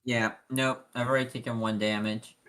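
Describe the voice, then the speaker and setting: A man's voice: medium pitch, flat delivery, moderate pace, a bit bright. A man chatting casually as a player in a tabletop game, often hesitant and asking questions.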